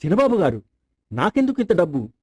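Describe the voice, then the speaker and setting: An actor playing a loyal, honest servant in a folk tale, respectful and earnest. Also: male voice, high-pitched, sing-song, quick pace, a little mellow, husky, dry recording, full character voice. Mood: humble, firm. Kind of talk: dramatized folk tale acting.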